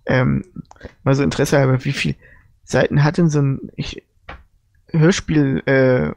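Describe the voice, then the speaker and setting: A man's voice: medium pitch, big pitch swings, measured pace, gravelly, darkish tone, no room sound. A man casually putting questions as a podcast co-interviewer. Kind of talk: casual chat.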